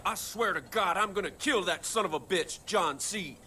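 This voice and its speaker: An actor playing a frantic, desperate rural husband in a video game, agitated and pleading. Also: male voice, high-pitched, animated, normal speed, sharp tone, a little hiss or hum, heavily acted. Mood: furious. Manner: agitated, pleading, foul-mouthed. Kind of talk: cinematic video game acting.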